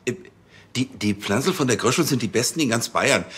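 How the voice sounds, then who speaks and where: A man's voice: mid-range voice, animated, fairly quick, a bit bright, close to an everyday voice. An actor with a Bavarian accent playing a flustered, officious policeman who stammers indignantly in a radio play. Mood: stubborn. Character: flustered, officious, stubborn male police colleague.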